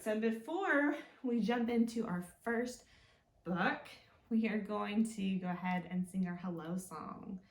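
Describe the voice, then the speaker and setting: A woman hosting a children's storytime, reading and explaining in a sing-song way with simple, encouraging questions. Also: mid-range voice. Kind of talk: read-aloud and teaching for young children. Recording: echoey room, mic at a distance.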